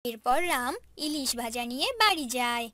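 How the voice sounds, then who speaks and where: Female storyteller for children's animation: high voice, scripted narration, dry close sound. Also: normal speed, pushed and bright, no echo.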